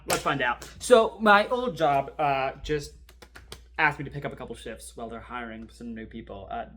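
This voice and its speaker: A man playing a wry, rattled archival assistant in a horror drama, rambling and sardonic as he records a halting confessional monologue. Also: medium pitch, fairly bright tone. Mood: tense, daring. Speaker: performer in a fan audio-video drama. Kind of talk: found-footage confessional monologue, conversational storytelling.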